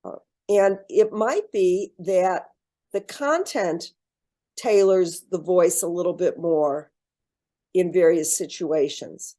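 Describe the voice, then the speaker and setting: A woman leads an online workshop over a video call. Her voice is warm and mellow. She speaks in a measured, encouraging way, pausing on ums and restarting phrases. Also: lowish voice. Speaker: program presenter.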